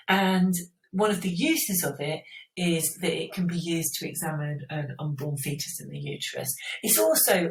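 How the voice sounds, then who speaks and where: A woman teacher giving an informal step-by-step school science explanation, often checking in with the listener. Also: fairly low pitch. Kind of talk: explanatory school revision lesson. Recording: roomy echo, distant microphone.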